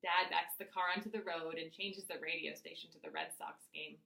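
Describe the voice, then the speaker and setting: A woman reading a children's novel aloud as its author, with expressive first-person narration. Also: fairly low pitch, normal speed. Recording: echoey room.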